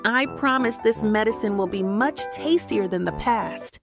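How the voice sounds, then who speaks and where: A woman's voice: medium pitch, moderate pace, gravelly, music in the background, close to an everyday voice. A woman voicing a sly, coaxing pony nurse in a cartoon comic dub. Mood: coaxing, reassuring.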